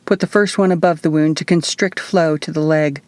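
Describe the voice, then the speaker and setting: Audiobook role: female reader as male commander, curt and gruff, lower register, dry sound. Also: fairly even intonation, normal speed, darkish tone, no room sound, close to an everyday voice. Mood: commanding. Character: stern, gruff male commander giving orders. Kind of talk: audiobook character voice.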